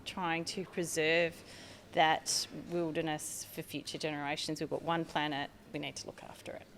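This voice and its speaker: Australian woman, middle-aged, earnest podcast guest, lower register, faint hiss behind. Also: flat delivery, normal speed, fairly bright tone. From Tasmania, Australia. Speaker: marketing manager guest. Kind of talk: podcast interview.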